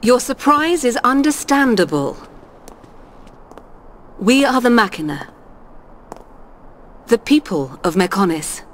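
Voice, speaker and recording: A woman voicing a calm machine-people doctor in a video game, speaking in formal, measured, precise phrases. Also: fairly high pitch, slight background noise. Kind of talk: video game cutscene acting.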